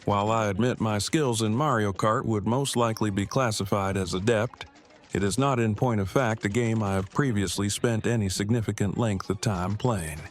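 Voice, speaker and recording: A man's voice: fairly low pitch, normal speed, faint music behind, lightly acted. A man playing an awkward, bookish nerd with a precise, wordy, formal delivery in an audio drama. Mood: defensive, precise. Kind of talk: fan audio drama acting.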